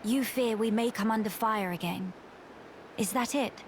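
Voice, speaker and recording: A woman's voice: a little high, normal speed, a little hiss or hum, close to an everyday voice. A woman playing a noble young woman in a video game, with formal, dignified phrasing. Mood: composed. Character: poised, noble young woman of high birth. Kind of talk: video game cutscene acting. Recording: music behind the voice.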